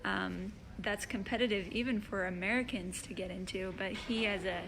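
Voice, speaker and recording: A woman's voice: medium pitch, moderate pace, background noise. A young woman hosting a casual live-stream interview, talking in an upbeat, chatty way with fillers. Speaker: host interviewer.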